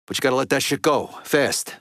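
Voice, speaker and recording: An actor playing a gruff, impatient middle-aged American mob underboss in a video game, with blunt, profane, streetwise talk. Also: male voice, mid-range voice, fairly quick, fairly bright tone, no room sound, clean studio sound, lightly acted. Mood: guarded, businesslike. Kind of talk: video game dialogue acting.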